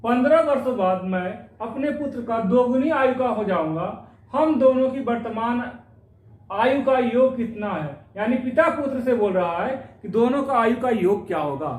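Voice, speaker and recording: A man's voice: very high-pitched, distant mic. A man teaching an exam-prep maths lesson, working through each problem step by step and repeating phrases as he goes. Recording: echoey room, voice off-mic.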